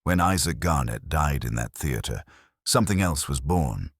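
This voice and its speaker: A man voicing a commanding male detective, formal, measured and deliberate, laying out a case in a dramatised detective audiobook. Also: extremely low pitch, animated, slightly rough, no echo, studio quality, exaggerated voice. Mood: measured, confident.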